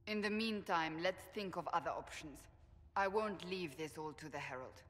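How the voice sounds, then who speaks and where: An actress playing a stern, resolute female holy warrior in a fantasy video game, speaking gravely and deliberately. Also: fairly low pitch, long reverb tail, natural voice. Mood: firm, stern. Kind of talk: video game dramatic dialogue.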